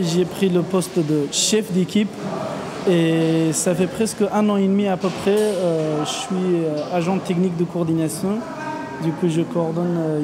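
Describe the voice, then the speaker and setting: A woman's voice: on the low side, noisy background. Sincere woman in her thirties, from Afghanistan. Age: 30s. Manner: sincere, hesitant with fillers. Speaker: coordination agent, team leader. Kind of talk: testimonial interview.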